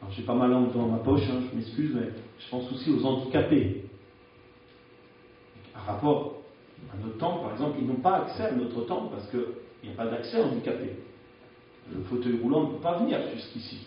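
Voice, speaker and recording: A man's voice: medium pitch, animated, brisk, long pauses, slight background noise. A man preaching a sermon in a frank, conversational way, often hesitating as he speaks, recorded in a church. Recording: echoey church, distant dull mic.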